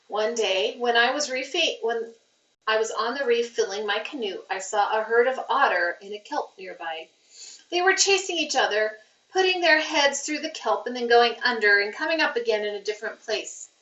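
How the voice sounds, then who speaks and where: Female read-aloud narrator for children's fiction, expressive and crisp-edged bright tone, recorded a little distant and dull. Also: on the high side. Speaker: teacher reading aloud. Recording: roomy, muffled, distant microphone.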